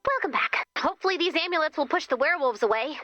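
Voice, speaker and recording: A woman's voice: sits high, lively intonation, fast talker, sharp tone, no room sound, dull audio, faint music behind. A woman voicing a perky, sassy robot assistant with sarcastic, quippy delivery in a video game. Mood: upbeat. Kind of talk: video game character voice lines.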